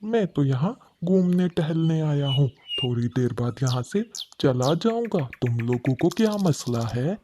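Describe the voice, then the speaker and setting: Cartoon crocodile, put on by a performer: mellow, hungry, blustering yet cowardly, faint noise on the track. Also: medium pitch, lively intonation, unhurried, close to an everyday voice. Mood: sly, hungry. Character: crocodile, menacing and hungry, cowardly when beaten. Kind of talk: cartoon character voice.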